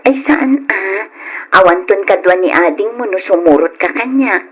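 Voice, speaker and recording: A woman acting in an old Ilocano radio drama as a devoted young mother, tender, pleading and emotional, with a husky voice. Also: mid-range voice, expressive, normal speed, a bit bright, highs cut off. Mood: gently reassuring. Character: devoted young mother leaving her children to work abroad.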